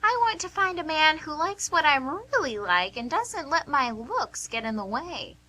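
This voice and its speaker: Casting: blunt, dry lady with a put-on quirky voice, played by a woman, piercingly bright, lively pitch, radio theatre. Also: normal speed, exaggerated voice. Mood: curt, guarded. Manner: putting on a weird voice, curt, dry. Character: blunt, teasing woman in an old-lady mask on a blind date. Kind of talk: amateur radio theatre acting.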